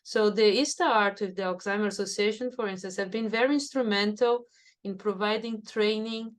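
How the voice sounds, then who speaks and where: A woman's voice: medium pitch, steady tone, normal speed. A woman from Brazil with a Brazilian Portuguese accent, talking reflectively as a professor guest in an interview, often saying "you know". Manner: thoughtful, with frequent "you know" fillers. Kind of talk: conversational interview.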